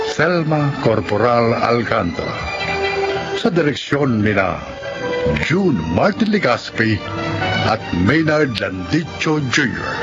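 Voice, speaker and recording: Man announcing radio drama, very loud music behind. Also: mid-range voice. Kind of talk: dramatic scripted radio narration. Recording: dull lo-fi broadcast, loud music.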